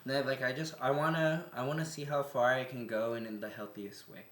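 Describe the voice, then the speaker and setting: A man's voice: medium pitch, steady tone, a little fast, a bit bright, reverberant. A man in his twenties co-hosting a casual podcast, rambling with lots of filler words and laughing often. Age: 20s. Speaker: podcast co-host.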